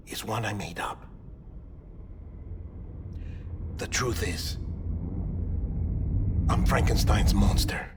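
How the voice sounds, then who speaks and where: An actor playing an earnest, morose, artificially created man in a video game cutscene, with deliberate, confessional phrasing. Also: mid-range voice, close to an everyday voice. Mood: somber confession. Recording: background music under the voice.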